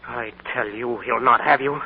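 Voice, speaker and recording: A man's voice: mid-range voice, steady tone, normal speed, slightly rough, natural voice. An actor playing a jealous, hard-drinking young man, brooding and pleading, in 1940s radio acting. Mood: desperate. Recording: tinny 1940s radio broadcast.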